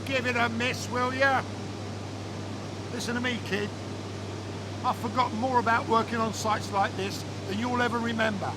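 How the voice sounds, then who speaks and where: An actor playing an impatient, sarcastic veteran scaffolder who dismisses rules, in a naturalistic training film drama. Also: male voice, very high voice, fairly even intonation, moderate pace, long pauses, noisy background. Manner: blunt, sarcastic, defensive.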